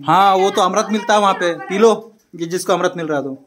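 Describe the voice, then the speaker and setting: Woman vlogging amid loud crowd chatter. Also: mid-range voice, quick pace. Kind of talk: casual narration and chat. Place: inside a natural cave temple. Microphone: handheld phone. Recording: busy crowd chatter behind, phone recording. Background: visitors talking.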